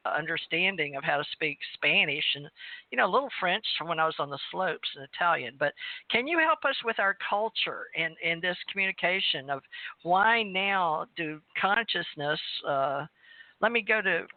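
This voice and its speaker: A woman's voice: lowish voice, expressive, normal speed, no room sound. A woman with a Southern accent hosting a call-in show, chatting in a rambling, talkative way and laughing often. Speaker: host. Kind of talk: casual chat on a call-in show. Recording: tinny phone line.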